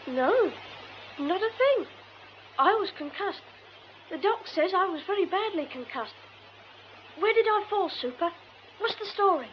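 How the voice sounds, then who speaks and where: A very high, boyish voice. The streetwise lad is cheeky but sleepy, in a radio play with slightly muffled, faintly hissy sound. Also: female voice, lively intonation, measured pace, pauses often. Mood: puzzled, indignant. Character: cheeky young boy, streetwise, drowsy in a hospital bed. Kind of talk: radio drama acting.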